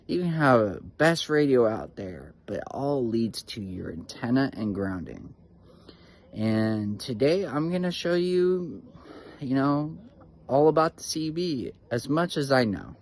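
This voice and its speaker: Man, slow unhurried delivery, informal rambling hobbyist demo with filler words. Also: mid-range voice, big pitch swings, a little mellow. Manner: informal, rambling, many "you know" fillers; admits to nervousness. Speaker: hobbyist radio reviewer. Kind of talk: casual show-and-tell explanation.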